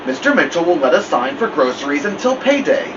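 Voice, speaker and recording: A man's voice: fairly high pitch, sing-song, fairly quick, voice pushed up. A man voicing a stern, fed-up mother scolding her teenage son in a storybook read-aloud, firm, clipped and emphatic. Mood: firm, exasperated. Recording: muffled lo-fi, distant mic, loud hiss.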